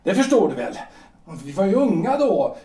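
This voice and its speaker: A man playing an anguished, possessive husband in his forties in a period audio drama, halting and repeating his phrases. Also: sits high, big pitch swings, warm tone. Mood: agitated, accusing. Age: 40s. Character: anguished middle-aged husband, lawyer and politician, pleading and possessive. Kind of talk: audio drama acting of a period stage play. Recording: roomy, distant microphone.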